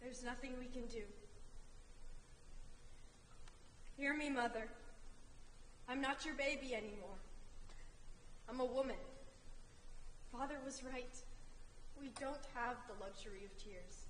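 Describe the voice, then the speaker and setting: A woman's voice: fairly high pitch, normal speed, pauses often, fairly bright tone, natural voice. A young woman playing a resolute young Greek princess facing sacrifice, in theatrical stage tragedy style. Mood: firm, pleading. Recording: echoey theatre hall, faint noise.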